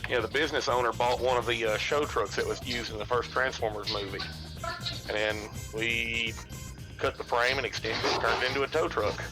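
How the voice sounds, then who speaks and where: A man talks in a plain, rambling way on a casual stream. His voice sits at a middling pitch. Quiet music plays faintly behind him. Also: steady tone, a little fast, rough recording. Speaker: stream guest. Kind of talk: casual chat.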